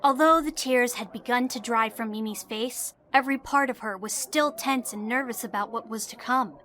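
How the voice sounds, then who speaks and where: A woman reading scripted storybook narration for an audio drama in an expressive, dramatic storytelling manner. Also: fairly high pitch, moderate pace, slight background noise. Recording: music behind the voice.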